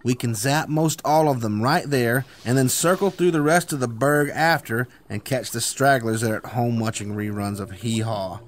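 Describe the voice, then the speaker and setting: A man's voice: mid-range voice, normal speed, hardly any pauses, lightly acted. A man acting as a bossy, impatient ringleader with grandiose schemes in a comedic radio drama. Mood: excited scheming.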